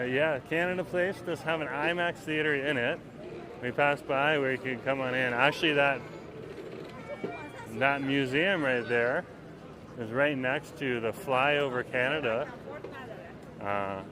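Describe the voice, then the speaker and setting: A man chats his way through a packed outdoor festival, rambling and easygoing as he streams from his phone while walking. Clear festival crowd chatter fills the air around him. His voice sits at an ordinary middle pitch for a man. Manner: rambling, chatty, reads viewer comments aloud. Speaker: livestream vlogger. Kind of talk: casual walking-tour commentary. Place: outdoors at a busy waterfront festival. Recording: loud crowd noise outdoors.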